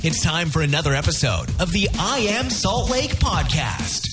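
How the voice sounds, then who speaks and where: Loud hip hop music plays under a man's high-pitched voice. He delivers a podcast intro as a rhythmic jingle, with big swoops in pitch. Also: very animated, moderate pace, echoey room.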